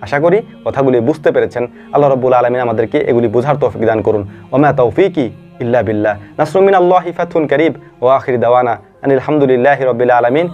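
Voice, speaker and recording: A man's voice: mid-range voice, racing, a little mellow. A man giving earnest, informal explanatory commentary, pressing his points with emphatic repetition. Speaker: video narrator and commentator. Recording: dull lo-fi voice track over music. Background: music.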